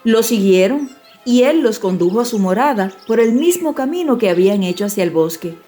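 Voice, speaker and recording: Expressive woman storyteller over soft music. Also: fairly high pitch, brisk. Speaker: audiobook storyteller. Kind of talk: scripted dramatized fairy-tale narration.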